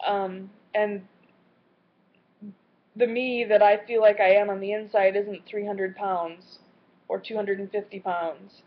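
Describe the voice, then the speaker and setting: A woman's voice: mid-range voice, fairly even intonation. Earnest young woman, muffled lo-fi audio. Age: late 20s. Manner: earnest, halting, candid. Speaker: vlogger. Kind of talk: personal video diary.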